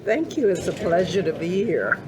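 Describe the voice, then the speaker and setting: An older woman with a hoarse voice, speaking earnestly and reflectively as a guest in a conversational interview. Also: lowish voice, expressive, moderate pace, crowd noise, rough recording. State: hoarse voice after illness. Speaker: podcast guest, community leader.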